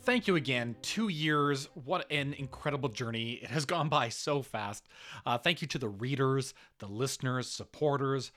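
A man's voice: mid-range voice, lively intonation, moderate pace, a bit bright, soft background music. A man hosting a podcast, delivering scripted announcements. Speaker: podcast host.

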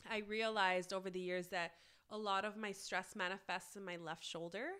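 A woman guest on a podcast, talking casually with lots of "like" fillers, her voice raspy from a cold. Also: medium pitch, fairly even intonation, moderate pace, a bit bright. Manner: chatty, many "like" fillers. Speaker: guest, plant-based cookbook author.

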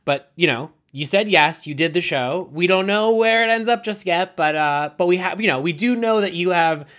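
A man's voice: sits high, expressive, normal speed, a bit bright. Rambling, chatty podcast host man. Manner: long run-on questions with frequent self-corrections. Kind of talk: casual interview chat.

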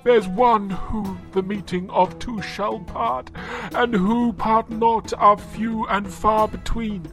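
A man voicing a grieving, dignified old father in an audio drama, with halting, formal, archaic phrasing. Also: high-pitched, steady tone, fairly bright tone, faint music behind, voice pushed up. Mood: lamenting.

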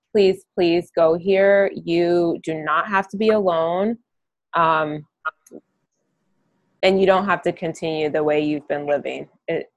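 A woman hosting a podcast in a soft-spoken, reflective way, often pausing with "um". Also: low-pitched, dry recording. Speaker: podcast host. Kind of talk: casual interview chat.

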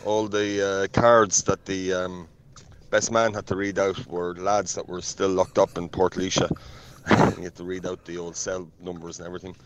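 A man's voice: sits low, little pitch movement, normal speed. A middle-aged or older Irish man telling casual anecdotes in a voice note sent to a radio show. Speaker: listener leaving a voice note. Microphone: phone voice note. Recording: muffled lo-fi voice note.

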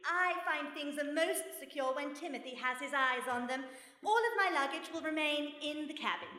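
Radio play lead: unflappable British lady sleuth, bright and commanding, dry wit, recorded live in a reverberant hall. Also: high-pitched, moderate pace. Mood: brisk politeness. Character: unflappable, genteel British lady sleuth, mother of grown son. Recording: echoey hall, live stage.

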